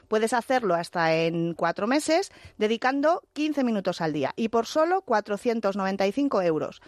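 A woman reads a sponsor spot clearly and with measured care. She has a mid-pitched voice, and the recording is dry and close. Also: dry recording. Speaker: radio announcer. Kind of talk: read sponsor announcement.

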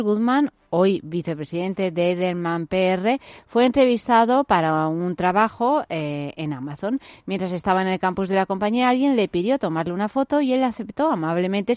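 A woman's voice: mid-range voice, a little mellow, no room sound, clean studio sound. Chatty woman radio host. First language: Spanish. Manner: chatty, jokey, self-mocking. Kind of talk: playful casual radio banter and news reading.

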